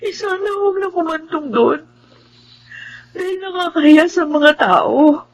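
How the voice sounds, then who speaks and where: Gentle, pleading younger sister. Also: female voice, very high-pitched, expressive, unhurried. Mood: hurt, pleading. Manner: soft-hearted, pleading, respectful. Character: gentle, hurt younger sister, peace-seeking. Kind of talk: Tagalog radio melodrama acting.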